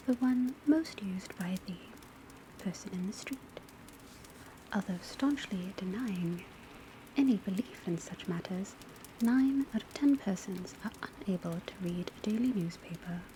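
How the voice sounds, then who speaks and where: A woman playing a composed, formal witch school teacher, lecturing in a soft, breathy, often whispery ASMR style with measured, precise delivery. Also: medium pitch, close to an everyday voice. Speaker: ASMR roleplay performer. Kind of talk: ASMR classroom roleplay lecture. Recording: steady rain and crackling fire noise. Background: rain on roof, crackling fireplace, pencil writing.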